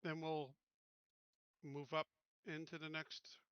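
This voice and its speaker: A man playing a tabletop game, casually planning moves in a halting, practical way. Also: fairly high pitch, level tone, a bit bright, clean tone, no room sound, highs cut off.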